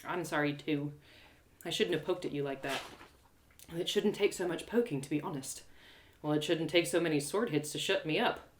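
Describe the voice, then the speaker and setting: A woman's voice: deep voice, moderate pace, slight background noise, lightly acted. A woman voicing a plucky, teasing young heroine who is learning to fight, in an audio drama. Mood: apologetic. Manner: teasing, eager. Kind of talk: one-woman audio drama character acting.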